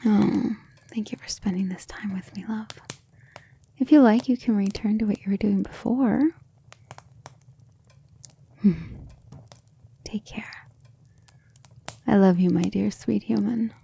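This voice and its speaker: A gentle, earnest vampire lover, played by a woman in an intimate ASMR roleplay. Her voice is mellow and breathy with some creak, and she speaks tenderly and hesitantly, as if confiding in someone dear. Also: mid-range voice, takes it slowly, pauses often, exaggerated voice. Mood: tender, grateful. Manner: soft, hesitant, tender, often breathy near-whisper. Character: gentle, earnest, self-doubting female vampire, devoted lover.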